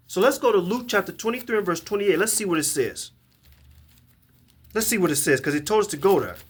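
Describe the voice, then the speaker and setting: Emphatic man teaching scripture, higher voice. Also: breathy edge. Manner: emphatic, repeats and restarts words while reading verses. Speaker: Bible teacher. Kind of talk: scripture lesson to camera. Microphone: camera.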